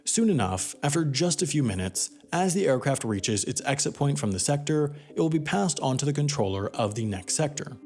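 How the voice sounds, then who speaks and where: A man's voice: mid-range voice, animated, fairly quick, few pauses, darkish tone. A man delivering scripted narration for an explainer documentary.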